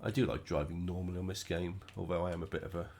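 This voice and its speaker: Croaky man with a cold. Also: low-pitched, fast, slight background noise. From United Kingdom. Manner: relaxed, chatty, thinking aloud while driving. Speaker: gaming channel host. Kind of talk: casual gameplay commentary.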